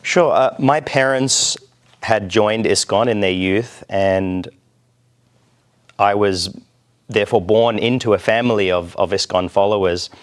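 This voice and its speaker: A man from Australia speaking as an interview guest, explaining things in a measured, deliberate way. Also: on the low side. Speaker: temple president, interview guest. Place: TV studio.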